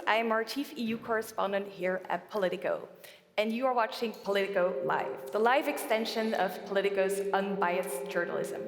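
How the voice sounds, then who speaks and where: A woman opens a live event with polished, announcer-like ease, her voice sitting at an ordinary pitch. She speaks from a large museum hall, and a long reverb trails each phrase. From Belgium. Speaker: event host and correspondent. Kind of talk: formal live event hosting.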